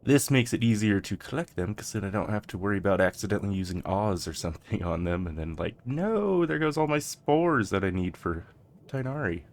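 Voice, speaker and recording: A game streamer giving soft, casual gameplay commentary with hesitant, rambling asides. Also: low-pitched, very animated, fairly quick.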